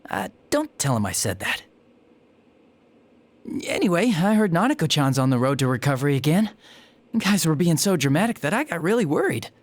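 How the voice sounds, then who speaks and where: Young male detective, video game dub, lively pitch, chatty, affable, slightly awkward. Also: normal speed, dry recording. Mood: friendly, reassuring.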